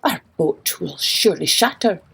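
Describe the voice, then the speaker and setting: A woman's voice: on the low side, expressive, normal speed, vocal fry, a bit bright, husky, heavily acted. A woman voicing earnest Scottish fishermen in a children's story, urgent and emphatic. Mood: urgent, concerned.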